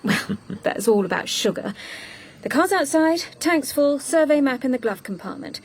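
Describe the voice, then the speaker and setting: An actress playing an efficient, slightly prim female assistant to a secret agent in a radio drama, brisk and matter-of-fact. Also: high voice, expressive. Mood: brisk, helpful.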